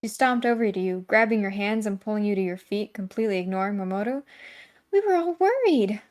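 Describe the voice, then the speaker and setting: A woman's voice: mid-range voice, steady tone, fast talker. A woman narrating a visual novel aloud as scripted story reading, with casual asides.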